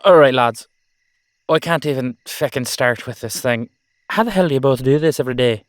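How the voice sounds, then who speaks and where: Exasperated Irish headless horseman played by a man: blustering, sweary, loud, pitched up, audio drama, close dry sound. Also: brisk, no room sound, lightly acted. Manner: blustering, profane, complaining. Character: exasperated, foul-mouthed Irish dullahan horseman. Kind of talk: audio roleplay drama acting.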